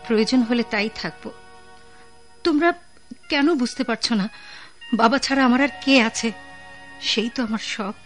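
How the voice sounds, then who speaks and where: A woman's voice: a little high, moderate pace, long pauses, soft background music, close to an everyday voice. An actress playing a devoted, dutiful young working woman in a radio drama, with an earnest, caring and firm manner. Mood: firm, pleading. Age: young adult.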